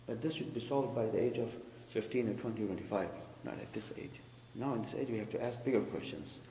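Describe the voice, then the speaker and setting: A man's voice: lowish voice, quick pace, mellow. Man preaching in an echoey hall. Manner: explains terms, asks the congregation. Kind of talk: sermon commentary. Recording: echoey hall with faint hum.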